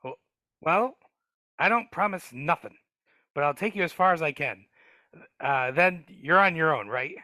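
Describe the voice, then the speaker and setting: A man's voice: a little high, animated, measured pace, long pauses, sharp tone, no echo, clean studio sound. A man voicing a sly, grumbling male dwarf in a live video-call table read, hesitant and wheedling, stammering often. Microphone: video call mic.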